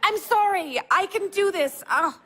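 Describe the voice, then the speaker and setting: A woman's voice: very high voice, animated, a little slow, bright, forceful tone, exaggerated voice. A woman playing an anxious, combative young married woman, blunt and sarcastic, in a naturalistic audio drama. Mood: exasperated.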